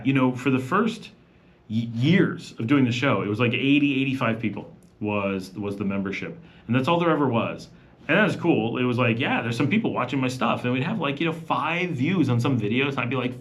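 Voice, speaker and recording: A man's voice: medium pitch, expressive, moderate pace. A man hosting a casual evening livestream from home, chatting loosely and reading viewers' comments aloud. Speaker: livestream host. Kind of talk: casual live Q&A chat.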